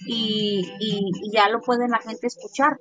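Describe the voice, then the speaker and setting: A woman's voice: a little high, little pitch movement, a little slow, pushed and bright, highs cut off, soft background music. A woman, hosting a podcast in a rambling, chatty way with many restarts. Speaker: podcast host. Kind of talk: casual chat.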